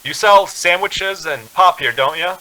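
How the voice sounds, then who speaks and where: A haunted motorist, sharp bright voice. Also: male voice, sits high, low-quality recording. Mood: anxious pleading. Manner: brooding, halting, deliberate storytelling. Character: haunted, increasingly desperate male motorist narrating his journey. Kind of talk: dramatic radio play narration. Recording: music behind the voice.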